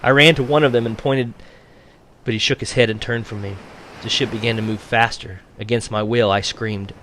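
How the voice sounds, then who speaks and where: A man reading a novel aloud as a teacher would for his class, in an expressive audiobook style. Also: on the low side, moderate pace, slight background noise.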